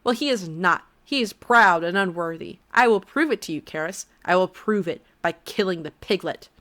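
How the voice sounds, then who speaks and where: A woman's voice: fairly low pitch, measured pace, a bit bright. A woman voicing a seething, contemptuous demon who threatens and mocks. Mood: seething menace. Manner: seething, menacing.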